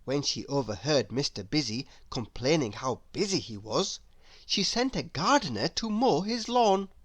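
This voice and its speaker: A woman reading a children's story aloud in an expressive, deliberate, theatrical way. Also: low voice, dry recording. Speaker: storybook reader.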